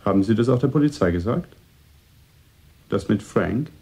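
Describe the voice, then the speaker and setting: An actor playing a composed, politely probing man in a radio drama, speaking in a measured way with a mellow, slightly husky voice. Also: lowish voice, animated, full character voice. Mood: calm, reproving.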